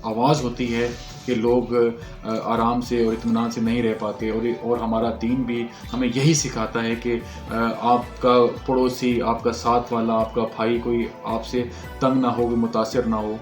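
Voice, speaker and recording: A Pakistani man hosts a news commentary in a reverberant room, with music playing underneath. His delivery is level and monotone at a medium male pitch. He speaks haltingly to his viewers, often searching for the next phrase. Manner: halting, hesitant, searching for words. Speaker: news channel host. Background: background music.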